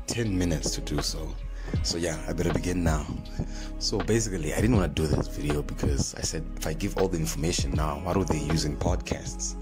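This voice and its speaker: A young man from Zimbabwe with a Zimbabwean accent, chatting casually to camera in an informal storytelling way, like a vlogger speaking close into a phone. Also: extremely low pitch. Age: young adult. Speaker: vlogger, drift fan page host. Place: small plain indoor room. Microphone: phone held close to the mouth. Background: background music.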